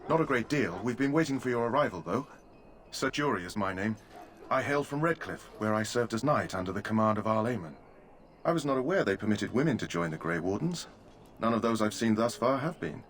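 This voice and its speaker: 진지한 젊은 기사. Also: male voice, lowish voice, animated, brisk, a bit bright. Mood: earnest. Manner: formal, old-fashioned, earnest. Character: earnest young male knight recruit. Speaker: game character.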